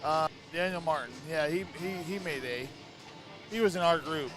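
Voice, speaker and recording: A man's voice: fairly high pitch, moderate pace, music in the background. A man co-hosting a pinball stream with a scratchy voice, chatting in a laid-back way with short replies. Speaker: co-host, pinball player. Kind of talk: casual chat on a pinball stream.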